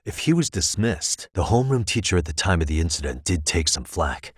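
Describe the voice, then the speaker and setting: A level-headed private detective from a video game, speaks in a low voice. He is sharp and wry, with a slightly husky edge. The sound is dry and free of room echo. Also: very animated, fairly quick, heavily acted. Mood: serious, deducing. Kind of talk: English game voice acting, detective dialogue.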